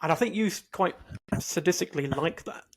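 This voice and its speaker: A man's voice: sits high, fairly quick. A man co-hosting a podcast, chatting informally and agreeably with short interjections. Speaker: podcast co-host. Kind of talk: casual podcast chat.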